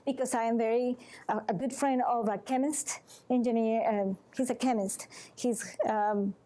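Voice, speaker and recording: Earnest Venezuelan-accented woman, fairly high voice. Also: fairly even intonation, dark tone, slight background noise. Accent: Venezuelan Spanish. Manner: earnest, halting phrasing with frequent "okay?" check-ins. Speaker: massage therapist speaking to a support group. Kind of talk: informal health talk to a group.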